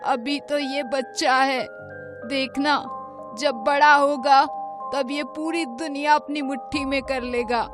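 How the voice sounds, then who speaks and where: A woman's voice: high-pitched, steady tone, slow, over music. A woman voicing a doting old village grandmother in a Hindi cartoon, with emphatic, fond scolding. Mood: doting, proud.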